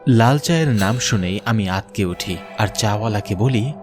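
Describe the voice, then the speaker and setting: A man's voice: on the low side, animated, moderate pace, soft background music. A man giving a scripted first-person narration of a horror story, as a government officer looking back. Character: haunted government officer recounting his mine visit.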